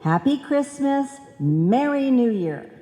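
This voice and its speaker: Actress doing a ditzy chatty radio comedy wife, big swoopy intonation, echoey hall. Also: female voice, sits high, big pitch swings, slow pace, warm tone, slight background noise, exaggerated voice. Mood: eager. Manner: earnest, muddled. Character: scatterbrained, trusting, chatty wife and card seller. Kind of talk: old-time radio comedy acting.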